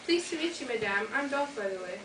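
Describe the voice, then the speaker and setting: A woman's voice: on the high side, expressive, fast talker, close to an everyday voice. A teenage girl playing a polite, formal male dwarf in a school play. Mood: courteous. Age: teens. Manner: formal and courteous. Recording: echoey room from far off, noisy.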